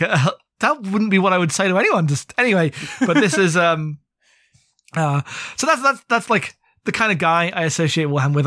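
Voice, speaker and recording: A man's voice: a little high, pushed and bright, no echo, clean studio sound. A man in his thirties co-hosting a podcast, chatting casually about books in a rambling, wry way. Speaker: podcast co-host. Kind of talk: casual book discussion.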